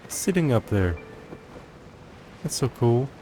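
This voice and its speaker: A man giving casual, low-key commentary on a video game as he plays, musing to himself. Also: very animated, takes it slowly, mellow, soft background music. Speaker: gameplay streamer.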